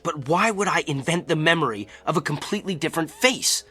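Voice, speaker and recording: Troubled office guy in a radio play, bright edgy voice, dry self-deprecating delivery. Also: on the high side, lively intonation, brisk, slightly rough, faint music behind, full character voice. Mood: uneasy, wry. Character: troubled, wry, self-doubting businessman. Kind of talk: audio drama acting.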